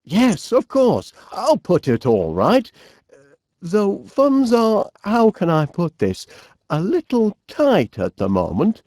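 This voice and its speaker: An actor playing a cheery, absent-minded middle-aged inventor with a Northern English accent, bumbling and chatty in an animated comedy game. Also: male voice, high-pitched, slow, no room sound, studio quality, heavily acted. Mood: sheepish. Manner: bumbling, chatty, hesitant, mild exclamations.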